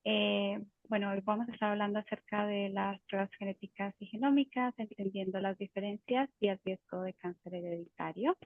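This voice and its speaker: A woman's voice: medium pitch, fairly even intonation, brisk, hardly any pauses, narrow, tinny audio. A woman, a genetic counselor, giving an explanatory webinar talk over a video call, with frequent fillers and restarts. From Colombia.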